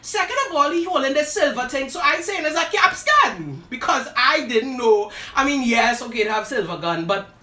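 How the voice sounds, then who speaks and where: Host, woman, sassy dramatic storyteller, high forceful voice. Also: sing-song, moderate pace, few pauses. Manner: dramatic, sassy asides, addresses listeners as "girl" and "darling". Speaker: live show host telling a personal story. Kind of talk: animated personal storytelling. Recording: roomy echo, voice from a distance.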